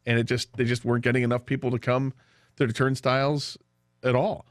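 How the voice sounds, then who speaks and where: A man's voice: mid-range voice, little pitch movement, a little fast, no echo. A man with an American accent co-hosting a sports radio show in casual discussion on a studio microphone. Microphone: studio broadcast microphone.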